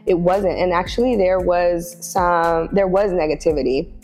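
A woman's voice: on the low side, normal speed, a little mellow, long reverb tail, faint music behind. A woman from Oakland, California, talking as a podcast guest in a reflective way, with many fillers and restarts and easy laughs. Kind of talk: casual podcast conversation.

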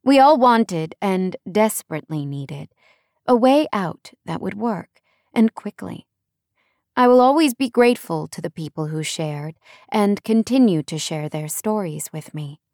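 A woman's voice: medium pitch, animated, a little slow, a little mellow, dry recording, studio quality. A woman narrating a first-person nonfiction audiobook in a conversational way, leaning on key words for emphasis.

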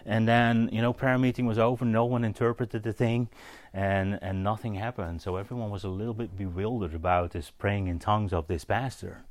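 A man giving an informal, story-led sermon as a preacher, halting and conversational, often checking in with his listeners. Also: on the low side, steady tone, no echo. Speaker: preacher teaching on spiritual gifts.

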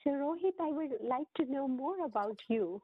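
A woman with a fairly high voice hosts the interview, asking her questions in polite, formal phrasing. Her audio sounds thin and phone-like, and completely dry. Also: animated, normal speed, no room sound. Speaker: host. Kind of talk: interview hosting.

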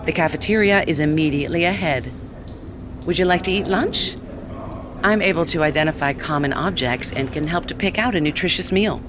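An actor voicing a helpful AI seeing-eye app assistant in an audio drama, with a polite, measured, instructive delivery. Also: mid-range voice, noisy background, close to an everyday voice. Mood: calm, instructive. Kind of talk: audio fiction acting, synthetic assistant delivery.

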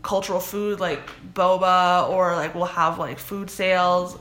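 Young Canadian woman, interview guest, bright and chatty, loose conversational delivery. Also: on the low side, a little slow. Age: young adult. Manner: chatty, many "like" fillers, animated quoting. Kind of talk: casual interview.

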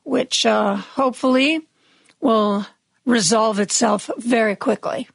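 A woman hosting a radio talk show, raspy from medication, giving chatty, wry news commentary with opinionated asides. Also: fairly high pitch, slow, dry recording. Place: radio studio.

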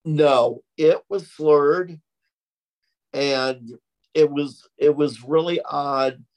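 A middle-aged man talks in an interview at a very unhurried pace. His voice is loud and projecting, and he over-articulates each word with care. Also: on the high side, poor audio. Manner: slow, deliberate, over-articulated speech. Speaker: interview guest, stroke survivor. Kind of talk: conversational interview.

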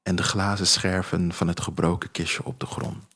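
A man narrating a scripted first-person story in a measured, literary way. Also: deep voice, level tone, fairly bright tone. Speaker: author reading own story as narrator. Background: music.

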